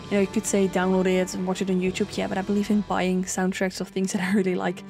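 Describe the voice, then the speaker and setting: A woman's voice: completely flat delivery, fast, gravelly, a little mellow, soft background music. A young woman chatting casually over a build, rambling with lots of fillers. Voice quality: low singing voice. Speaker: sims speed builder.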